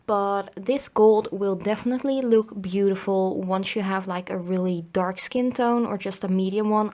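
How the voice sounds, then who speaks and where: A woman's voice: mid-range voice, a little mellow. Chatty woman with a slightly cracking voice. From Netherlands. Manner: chatty, informal, frequent hedging. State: slightly cracking voice from a coming cold. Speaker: nail polish reviewer. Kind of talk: casual review voiceover.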